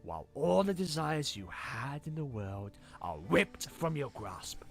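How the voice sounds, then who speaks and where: Slow, swooping theatrical pony villain. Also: male voice, a little high, creaky, a bit bright, heavily acted. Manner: theatrical, savoring words, taunting. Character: scheming, gloating male villain pony impostor, theatrical and cruel. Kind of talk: animated fan drama villain acting.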